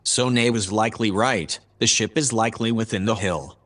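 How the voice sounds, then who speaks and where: A man playing a calm, formal artificial intelligence, reporting in a measured, dispassionate way in a sci-fi audio drama. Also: fairly low pitch. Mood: detached.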